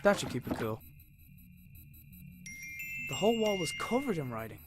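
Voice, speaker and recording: A curious young father in an adventure game, searching for his missing dad. He narrates thoughtfully and with understatement, his pitch moving freely over loud music. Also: sing-song, normal speed. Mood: pensive. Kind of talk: reflective scripted game narration in past tense.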